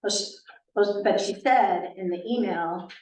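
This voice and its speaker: A woman's voice: mid-range voice, unhurried, darkish tone, a little hiss or hum. A woman chairing a town board meeting in a businesslike way, calling for motions and votes. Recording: roomy hall, distant mic.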